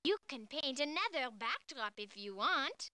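A cheerful little schoolgirl from a cartoon game. She speaks slowly and sweetly in a high, lilting voice. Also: sing-song, pushed and bright, no room sound, clean studio sound, heavily acted. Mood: cheerful, helpful. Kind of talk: children's cartoon game acting.

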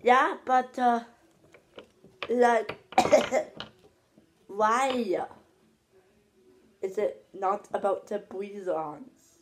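Brief: slow-drawling, pushy Mexican-accented puppet man, played by a teen boy for a home vlog. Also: sits high, fairly even intonation, slight background noise. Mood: chummy. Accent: put-on Mexican. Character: cheeky 29-year-old Mexican man puppet. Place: home dining room. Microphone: laptop webcam.

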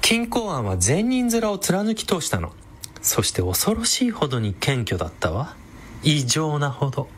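An actress playing a brash, sardonic villainous woman with a sharp-tongued, theatrical manner in a comedic radio drama. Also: sits low, huge pitch swings, measured pace, slightly rough, exaggerated voice. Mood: grave, explanatory.

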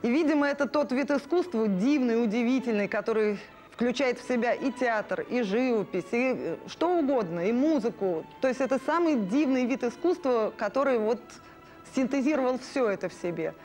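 A woman's voice: a little high, lively intonation, normal speed, darkish tone, faint music behind. A woman in her thirties reminiscing in an interview in an effusive, emphatic way. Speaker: animation artist interviewee. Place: indoor studio room with puppets.

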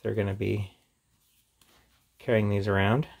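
A man's voice: lowish voice, level tone, unhurried, vocal fry, darkish tone. A man giving a casual, off-the-cuff product review in an informal, chatty way as he presents. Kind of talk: casual unboxing and review chat.

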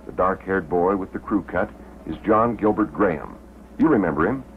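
An American man narrating a 1950s promotional documentary in a measured, deliberate way with dramatic pauses. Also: low voice, lively intonation, slight background noise. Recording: tinny old film soundtrack, faint music.

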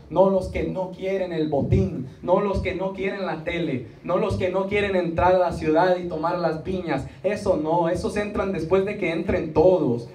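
A middle-aged man preaching to a congregation, emphatic and repetitive, drawing out key words and pausing for responses. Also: high-pitched, mellow. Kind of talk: Spanish-language church sermon with rhetorical repetition and acted asides. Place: small church meeting room, indoors. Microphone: handheld microphone, recorded from the seats. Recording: roomy, distant audience recording with faint noise.

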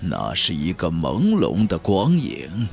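An ancient clan elder from a fantasy audiobook, acted by a man. He is low and unhurried, reverent and sorrowful. He recalls the past in archaic words, as if lost in a dream, over soft music. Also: huge pitch swings, vocal fry, heavily acted. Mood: awed, solemn. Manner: slow, dreamy, archaic storytelling. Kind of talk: audiobook character voice in a fantasy novel.

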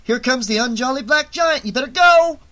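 A man's voice: on the high side, animated, normal speed, bright, forceful tone. A man voicing a tense, short-tempered woman in an audiobook, curt and tightly controlled. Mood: rattled. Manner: curt, controlled through clenched teeth.

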